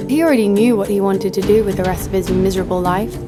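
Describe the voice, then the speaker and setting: A woman playing a jaded, sardonic killer who narrates her own story in a deadpan way for an audio drama. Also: medium pitch, quick pace, over music, lightly acted. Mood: wry, bitter. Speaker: narrator and lead actor. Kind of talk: first-person scripted narration.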